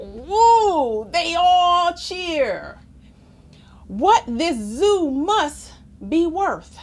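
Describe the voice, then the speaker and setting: A woman reading a rhyming children's book aloud in an expressive, theatrical way, with chatty asides to young listeners. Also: on the high side. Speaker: storybook reader.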